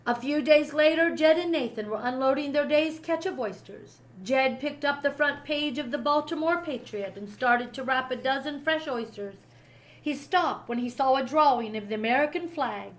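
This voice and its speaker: A woman reading a children's history story aloud in an expressive storytelling style. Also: high voice, normal speed, rough recording. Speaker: storybook reader.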